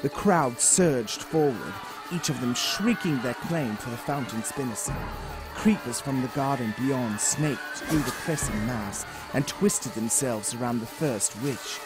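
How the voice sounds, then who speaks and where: A man's voice: medium pitch, a little slow, poor audio. A man giving dramatic scripted narration of a fairy tale for an audio drama. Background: music.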